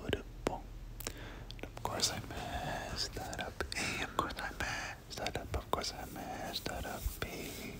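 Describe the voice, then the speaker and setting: A person whispering ASMR game commentary in a breathy voice, often repeating words and short phrases over and over. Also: takes it slowly. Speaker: gaming video host.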